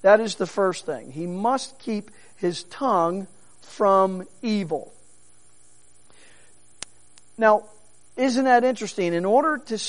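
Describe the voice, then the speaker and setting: A man preaching a sermon, earnest and unhurried, with frequent fillers and repeated words. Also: high voice. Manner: preaching with emphatic rises. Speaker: pastor.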